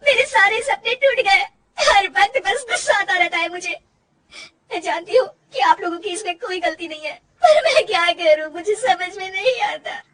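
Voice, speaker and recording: A woman's voice: high voice, expressive, fast, pitched above the performer's own voice. A woman voicing a young unhappy wife who dreams of painting, answering sharply and breaking into tears. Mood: crying. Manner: sulky, sharp, tearful when pushed.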